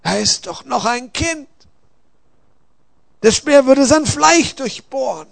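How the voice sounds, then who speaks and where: Proud conquering soldier, voiced by a man, intense, anguished, raised pitch, slow dramatic delivery, storybook drama. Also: big pitch swings, vocal fry, fairly bright tone, no room sound, heavily acted. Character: Balthasar, proud conquering warrior, shaken and anguished.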